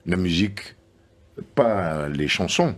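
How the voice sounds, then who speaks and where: A man's voice: deep voice, expressive, slow pace, slightly rough. An older man from Algeria, a guest on a television panel, explaining at length with many hesitant fillers.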